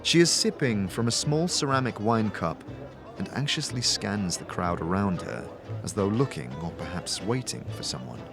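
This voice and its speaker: A man narrates a fantasy audio drama in a fairly low voice. His delivery is dramatic and descriptive, with lively intonation, and music plays faintly behind him. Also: normal speed, gravelly. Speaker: narrator and game master.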